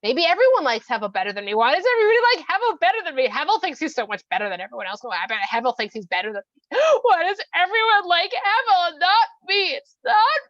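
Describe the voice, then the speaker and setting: A woman's voice: high-pitched, brisk, a bit bright, no echo, muffled recording. A woman voicing Cain, a resentful young man who whines, gets defensive and pleads. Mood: whiny, jealous. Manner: whiny, defensive, rising to near tears.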